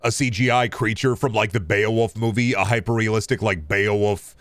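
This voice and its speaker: A man chatting casually as a video game streamer, rambling in a halting way and often repeating phrases. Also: lowish voice, fairly even intonation, fairly bright tone, no echo. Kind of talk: casual rambling livestream chat.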